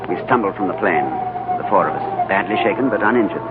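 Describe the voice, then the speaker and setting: An actor playing a calm, courteous English diplomat adventurer, in 1940s radio drama style, telling his tale with composed understatement. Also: fairly low pitch, quick pace, heavy background noise, close to an everyday voice. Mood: grave, awed narrating. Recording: tinny 1940s radio broadcast, loud music.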